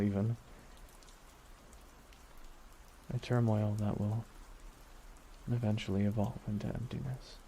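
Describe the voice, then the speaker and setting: A man acting a weary, regretful supervillain in an ASMR audio roleplay, speaking slowly and reflectively with pauses. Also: on the low side, mellow, slight background noise, lightly acted. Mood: regretful, resigned. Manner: slow, reflective, pausing delivery. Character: weary, regretful male supervillain beside his dying nemesis.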